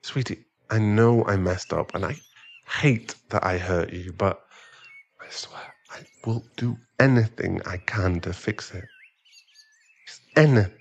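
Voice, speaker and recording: A man's voice: fairly low pitch, measured pace, vocal fry. A man playing a remorseful sugar-daddy boyfriend in an ASMR audio roleplay, hesitant and stammering as he earnestly pleads for forgiveness. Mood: soft, devoted.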